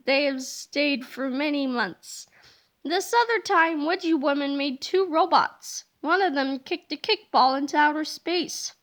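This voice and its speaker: A woman reading a children's book aloud in an animated, playful narrating voice. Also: high-pitched. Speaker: storybook reader.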